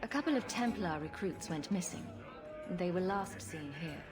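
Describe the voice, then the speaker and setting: A woman's voice: on the low side, expressive, normal speed, crowd noise, close to an everyday voice. An actress playing a sarcastic, commanding female rogue adventurer in a fantasy video game. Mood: businesslike. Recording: game audio with music behind.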